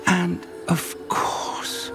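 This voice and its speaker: A man's voice: very high-pitched, huge pitch swings, drawn-out words, loud music behind the voice, full character voice. An actor playing a curious older male wizard professor in a video game, theatrical and full of wonder. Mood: awed. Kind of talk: cinematic video game acting.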